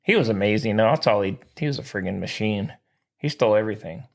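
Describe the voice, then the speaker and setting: A man co-hosting a sports podcast, chatting casually in an animated, slangy fan's way. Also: fairly low pitch, fast, creaky. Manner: animated, slangy fan talk.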